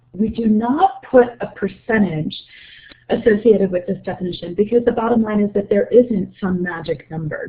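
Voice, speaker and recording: A woman with a slight cold giving an explanatory, measured webinar presentation as a presenter. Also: on the low side. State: getting over a cold, slightly low voice. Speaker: presenter, report co-author. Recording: narrow muffled phone-like line.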